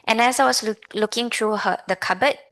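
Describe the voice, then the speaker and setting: A woman in her thirties with a Malaysian accent, giving a personal talk to an audience in a reflective, halting, conversational way. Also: a little high, a bit bright. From Malaysia, grew up in Kuala Lumpur. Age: 30s. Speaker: lay Buddhist speaker.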